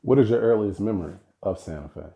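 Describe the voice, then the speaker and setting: A man's voice: on the low side, quick pace, gravelly, lo-fi sound. A man hosting a podcast and interviewing his fiancée, with an upbeat manner. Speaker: podcast host.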